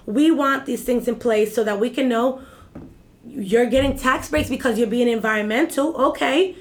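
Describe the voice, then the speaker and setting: A woman's voice: fairly high pitch, moderate pace. A woman, talking as a guest in a casual interview, emphatic and repeating herself, checking in with "right?". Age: 30s. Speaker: guest, executive director of an activist group.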